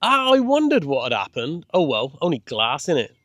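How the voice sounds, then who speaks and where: A man's voice: a little high, wildly swooping pitch, moderate pace, fairly bright tone, no room sound. Man voicing a chirpy, colloquial groundskeeper, close dry sound. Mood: cheery, reassuring. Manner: colloquial, chirpy, dropped grammar. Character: cheery middle-aged male groundskeeper, kindly and easygoing. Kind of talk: children's audiobook character dialogue.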